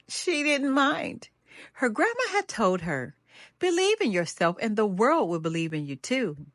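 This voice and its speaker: An older woman reading a children's picture book aloud as a storyteller, with an animated, deliberate delivery and drawn-out refrains. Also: mid-range voice, dry recording, studio quality.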